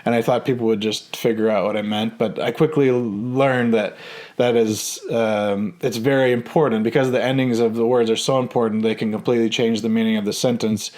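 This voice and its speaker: Man in his thirties, hesitant storyteller, mid pitch, frequent fillers and restarts. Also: steady tone, brisk, a little mellow. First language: English. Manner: hesitant, many ums and restarts. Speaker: vlogger. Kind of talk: casual personal storytelling.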